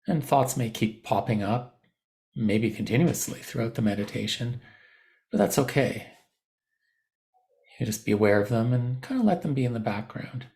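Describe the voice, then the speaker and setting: A man leading a guided meditation, giving gentle, unscripted instructions with softened, informal phrasing. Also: medium pitch, expressive, normal speed, gravelly, long pauses.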